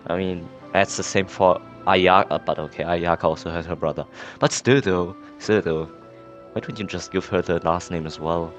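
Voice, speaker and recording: A man's voice: sits low, moderate pace, faint music behind. A man giving casual, rambling and reactive let's play commentary as a gaming streamer.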